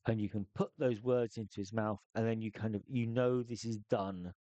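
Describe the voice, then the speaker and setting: A man's voice: fairly low pitch, fairly even intonation, moderate pace, warm tone, no echo, muffled recording. Man musing through loose solo-game advice. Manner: loose, thinking aloud with many restarts. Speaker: solo tabletop game player giving tips. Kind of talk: casual spoken advice.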